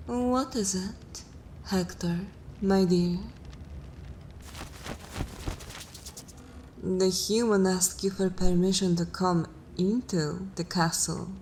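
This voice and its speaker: A woman playing an ancient, haughty vampire mistress in a dark fantasy ASMR roleplay, languid and theatrical with disdain, lapsing into whispers. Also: medium pitch, slight background noise, close to an everyday voice. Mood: amused, weary.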